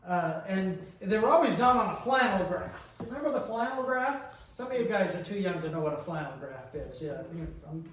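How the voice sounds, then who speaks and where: A middle-aged man preaching a reflective sermon, deliberate in delivery, with long pauses and rhetorical questions. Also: high-pitched, expressive, slight background noise. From New Brunswick, Canada. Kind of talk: reflective sermon with personal anecdotes. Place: large reverberant church interior. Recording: echoey church, picked up from a distance.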